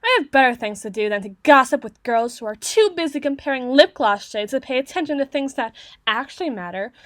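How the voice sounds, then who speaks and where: A woman's voice: high voice, expressive, brisk, a bit bright, no room sound. A woman playing a flustered, haughty teenage tsundere girl in an ASMR roleplay, bookish and socially awkward, with formal, wordy diction. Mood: proud, aloof.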